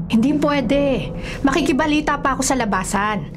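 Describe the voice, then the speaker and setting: A woman playing a bossy, protective woman of the household in a radio drama, brisk and scolding. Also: sits high, noisy background, natural voice. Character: bossy, protective women of a household, nanny and elder sister.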